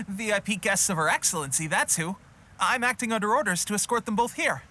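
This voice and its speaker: A man's voice: high voice, expressive, a little fast, bright, forceful tone, a little hiss or hum. A man voicing an eager, friendly young resistance soldier in a video game, chatty and earnest. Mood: proud, playful. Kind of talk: video game character acting.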